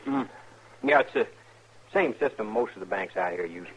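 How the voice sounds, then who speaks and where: An actor playing a mild, plain-spoken young frontier bank clerk with an American accent, in a 1950s radio drama. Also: male voice, lowish voice, animated, moderate pace, a bit bright, slightly rough. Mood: guarded. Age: young adult. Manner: plain-spoken, amiable, hesitant. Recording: dull old radio recording.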